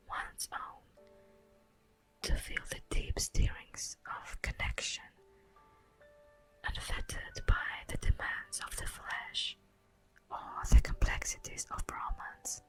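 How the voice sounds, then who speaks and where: A woman playing a solemn, tender elf guardian of the forest in a breathy, whispered ASMR roleplay, speaking formally and poetically. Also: fairly bright tone, soft background music, rough recording. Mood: tender, earnest. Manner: slow, formal, poetic, drawn-out delivery.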